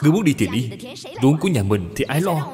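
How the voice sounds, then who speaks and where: A man's voice: mid-range voice, sing-song, fast, dark tone, voice pushed up, lightly acted. A man voicing a harsh, domineering stepmother who scolds scornfully in a folk tale. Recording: long reverb, music behind.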